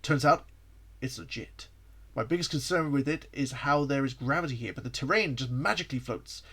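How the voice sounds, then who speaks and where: A man's voice: mid-range voice, normal speed, bright, forceful tone, breathy edge. A man voicing a curious, polite male echidna journalist while reading fan fiction aloud. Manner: polite, inquisitive.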